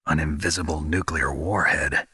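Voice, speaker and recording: A gruff special-ops soldier for a video game. The voice sits very low and husky, with a hard forward edge. He is terse and sardonic, world-weary and suspicious. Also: normal speed, no echo, studio quality, exaggerated voice. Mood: dry, sardonic. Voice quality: gravelly, husky. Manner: terse, deadpan. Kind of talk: dramatic video game voice acting.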